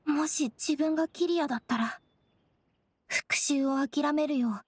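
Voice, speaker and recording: A woman's voice: sits high, a little slow, no echo. A woman playing a young, earnest, brooding traveler with plain, direct speech in a Japanese game. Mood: troubled, pensive.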